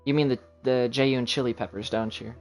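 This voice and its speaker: A man's voice: mid-range voice, fairly even intonation, fairly quick. Casual man rambling over game music. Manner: rambling, self-deprecating. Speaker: game livestreamer. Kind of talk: casual chat while gaming on a livestream.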